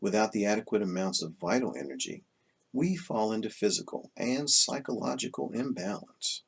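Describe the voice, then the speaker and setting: Very deep, gravelly male book narrator. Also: moderate pace. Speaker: audiobook reader. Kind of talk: scripted narration, reading a self-help book aloud.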